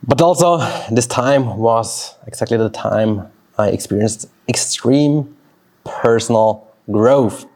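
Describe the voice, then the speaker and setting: A man in his thirties from Switzerland, a native Swiss German speaker, thinking aloud in a personal vlog with pauses and restarts. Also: medium pitch, a little mellow. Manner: reflective, talks slowly with pauses and restarts. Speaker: fitness coach. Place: outdoors near a road.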